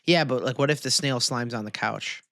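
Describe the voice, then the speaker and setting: A man's voice: mid-range voice, normal speed, vocal fry, bright, forceful tone, no echo, clean studio sound. A man co-hosting a podcast in casual, jokey banter full of bro slang. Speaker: podcast co-host.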